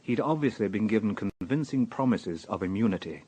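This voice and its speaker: An actor playing a shrewd, easygoing British police detective in a radio drama, with a husky voice and a dry, probing, conversational manner. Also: male voice, fairly low pitch, lively intonation, brisk, mellow. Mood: reflective. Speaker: actor and narrator. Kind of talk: naturalistic radio drama with first-person narration.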